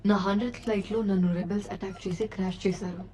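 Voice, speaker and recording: An actress playing a proud, defiant ship's AI in an animated series, with a sassy, argumentative manner. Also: female voice, medium pitch, rapid-fire, lightly acted. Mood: indignant. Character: proud, defiant female AI of a crashed cargo ship.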